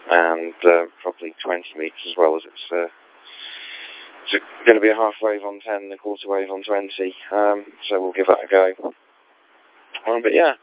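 Male ham operator, deep monotone, tinny radio-link sound with faint hiss, rambling technical chat. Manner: technical, rambling with many fillers.

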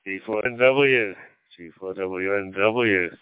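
An older man with a Lancashire accent, an amateur radio operator chatting in an easygoing, halting way over a radio link. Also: on the low side, clear, resonant tone, no echo. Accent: Lancashire English. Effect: two-way radio. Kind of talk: casual radio chat with anecdotes and call signs. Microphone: radio transceiver microphone. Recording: phone-like digital radio gateway link.

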